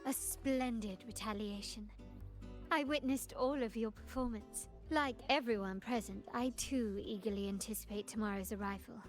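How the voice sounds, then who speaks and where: A woman's voice: a little high, moderate pace, soft background music, lightly acted. An actress playing a poised, shrewd noblewoman in a game, speaking in a formal, composed, analytical way. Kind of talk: scripted game voice acting.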